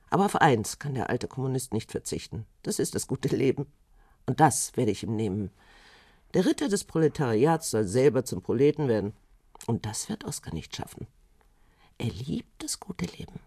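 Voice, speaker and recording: An actress playing a bitter, proud betrayed wife and lawyer in a radio drama, speaking in a husky, commanding, dramatic way. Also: female voice, extremely low pitch, no room sound, heavily acted. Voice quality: husky, gravelly. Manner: dramatic, commanding, swinging from murmur to sharp attack.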